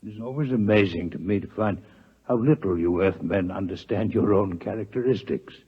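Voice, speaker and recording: A polite alien doctor in old 50s radio drama, really raspy, formal and precise, dull vintage sound. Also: male voice, lowish voice, brisk. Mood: measured, courteous. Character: courteous alien doctor from another planet, scholarly, grave. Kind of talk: 1950s radio drama acting. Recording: muffled vintage 1950s radio recording.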